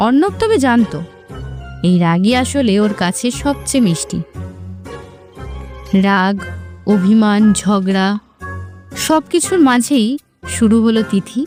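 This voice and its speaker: A woman's voice: mid-range voice, a little mellow. A woman narrator reading a scripted romantic story. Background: music.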